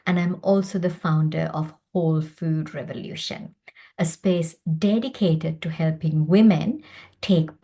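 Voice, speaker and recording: A woman in her fifties from Sri Lanka speaks in a relaxed, warm tone that sits a little low. She is a doctor delivering a prepared personal talk. Her delivery is measured and earnest. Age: 50s. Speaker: doctor and presenter.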